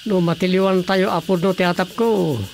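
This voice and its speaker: Casting: conspiratorial barangay boss, Ilocano Filipino accent, high creaky voice, radio drama, background hiss and ambience. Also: male voice, steady tone, moderate pace. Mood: secretive, commanding. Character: scheming male village officer-in-charge giving orders. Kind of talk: radio drama comedy dialogue.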